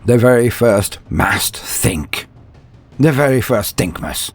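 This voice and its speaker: Gravelly, theatrical male storyteller, deep and husky. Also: animated, slow, faint music behind. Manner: theatrical, grandiose, with wry asides. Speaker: narrator, storyteller. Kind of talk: dramatic scripted fan fiction narration, mock-epic.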